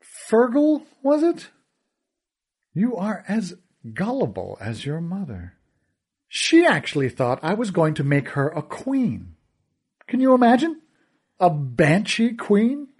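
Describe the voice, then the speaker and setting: A man's voice: high voice, wildly swooping pitch, takes it slowly, long pauses, darkish tone, breathy edge, pitched above the performer's own voice, heavily acted. A man voicing a cruel, theatrical villain who gloats and taunts in a sneering, sing-song way. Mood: gloating.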